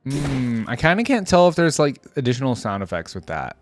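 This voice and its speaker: A man's voice: medium pitch, sing-song, fairly quick, a little hiss or hum. A man giving casual reaction commentary on video game skins, rambling and interjecting as he thinks aloud.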